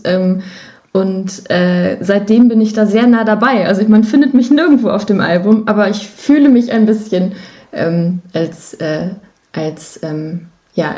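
A woman's voice: medium pitch, dark tone. A woman speaking as a guest musician in a casual podcast interview, thoughtfully searching for her words.

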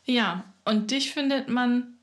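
A woman's voice: fairly high pitch, slow, background noise. A woman rehearsing audio drama lines as an amateur voice actor, easily amused and apologetic between takes. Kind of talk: rehearsing script lines, outtakes.

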